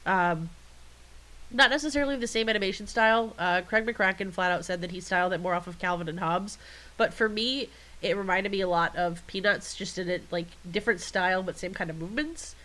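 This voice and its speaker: Congested woman co-host, bright forceful tone, rambling jokey delivery. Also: medium pitch, a little fast, rough recording. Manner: chatty, jokey, rambling storytelling. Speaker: podcast co-host. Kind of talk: casual chat.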